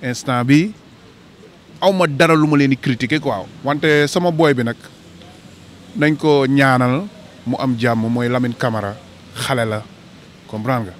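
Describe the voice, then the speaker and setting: A man's voice: mid-range voice, a little slow, long pauses, slight background noise. A man speaking emphatically in a street interview outdoors, into a handheld mic. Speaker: football fan interviewed. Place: snowy city street outdoors.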